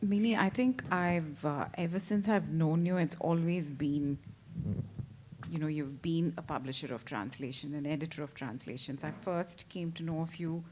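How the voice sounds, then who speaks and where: Low-voiced woman, panel moderator; soft, admiring questions, faint hiss. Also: normal speed. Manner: gentle, admiring questions with hesitant starts. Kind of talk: panel discussion.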